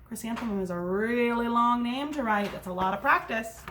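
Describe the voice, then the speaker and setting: A woman with a fairly high voice reads a picture book as a teacher would to young pupils. She moves between narration and simple explanations, often checking in with her listeners through questions. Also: normal speed, fairly bright tone, a little hiss or hum. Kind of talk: read-aloud storytime with asides to children.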